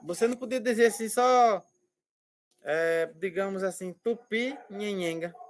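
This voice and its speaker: A male language teacher explaining slowly and patiently over a video call, repeating words and checking that students follow. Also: high voice, a little hiss or hum. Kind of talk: online class explanation.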